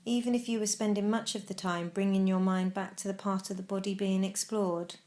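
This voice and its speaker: Woman guiding a meditation with measured phrasing. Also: mid-range voice, steady tone, mellow. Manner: measured, instructional, with deliberate pauses. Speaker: meditation guide. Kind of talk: guided meditation narration.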